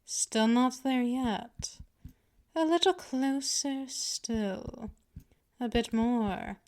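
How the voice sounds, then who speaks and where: Woman playing a slow, teasing female vampire mistress. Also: a little high, a little mellow. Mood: teasing, luring. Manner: slow, deliberate, teasing, condescending. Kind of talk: ASMR roleplay, scripted monologue.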